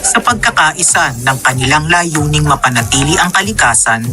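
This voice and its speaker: A man's voice: on the high side, lively intonation, normal speed, close to an everyday voice. A man narrating a radio drama as a solemn, hopeful storyteller of a village tale. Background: music.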